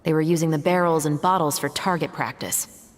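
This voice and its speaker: An actress playing a composed, businesslike young guard captain in a video game, speaking in a measured, formal, matter-of-fact way. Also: female voice, low voice, steady tone, natural voice. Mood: analytical. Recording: faint music behind.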